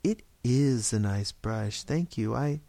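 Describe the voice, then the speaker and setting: A man playing a gentle, polite, somewhat apprehensive mother in a story reading. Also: medium pitch, expressive, slow pace, dry recording. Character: gentle, apprehensive young mother trying to keep peace.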